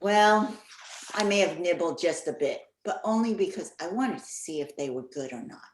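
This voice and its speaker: A woman's voice: lowish voice, lively intonation, normal speed, a little hiss or hum, lightly acted. A woman playing a sweet yet strict mother in her thirties in an amateur radio play. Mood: sheepish. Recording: echoey, roomy sound.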